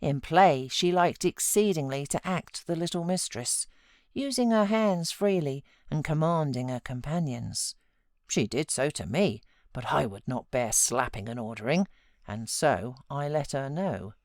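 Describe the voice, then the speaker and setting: A British woman reads classic fiction as a professional audiobook narrator. Her voice sits very low for a woman, yet it moves in wide, lively swings. The delivery is expressive and dramatic, and the sound is dry, with no room around it. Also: measured pace, dry recording. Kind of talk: scripted narration of a classic novel.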